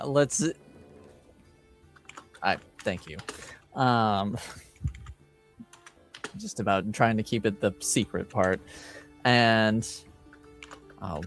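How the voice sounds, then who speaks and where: A man acting as game master, improvising narration for a tabletop roleplaying game with hesitant, halting phrasing. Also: on the low side.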